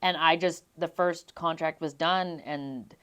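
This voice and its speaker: A young adult woman from Las Vegas, a singer being interviewed, telling her stories in an animated way and laughing often. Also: sits low, unhurried. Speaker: guest singer. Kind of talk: casual interview chat.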